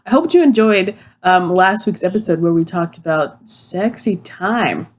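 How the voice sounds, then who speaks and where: A woman in her twenties co-hosting a casual podcast, upbeat and quick to laugh, with a groggy morning voice. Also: lowish voice, animated. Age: 20s. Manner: upbeat, laughs easily, repeats herself. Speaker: podcast co-host. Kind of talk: casual chat.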